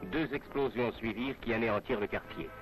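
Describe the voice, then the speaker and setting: A male narrator reads a 1960s French newsreel script with formal announcer diction. His voice sits at a medium pitch. Music plays clearly behind him, and the sound is that of a vintage film soundtrack. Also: a little fast, low-quality recording. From France.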